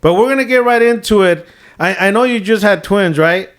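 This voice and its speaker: A man in his thirties hosts a podcast in a notably high voice. He is upbeat and affirming toward his guest, and he switches into Spanish phrases from time to time. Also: fairly quick. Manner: hyped, affirming, mixes in Spanish. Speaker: podcast host. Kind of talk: casual podcast interview.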